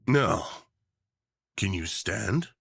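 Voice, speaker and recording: A man performs a smug yandere tyrant in an audio roleplay, all menacing affection. His voice is husky and close in a dry space, swooping theatrically as he lingers over mock-sweet endearments. He takes his time, savoring every threat. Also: on the low side, sing-song, drawn-out words, a bit bright, no echo, heavily acted. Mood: possessive affection. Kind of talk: ASMR roleplay acting.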